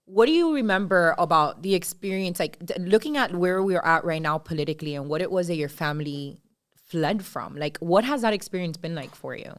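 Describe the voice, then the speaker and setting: A woman co-hosting a casual podcast who steers the talk with earnest questions. Her voice is slightly low, smooth and clear. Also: expressive, brisk. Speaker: podcast co-host.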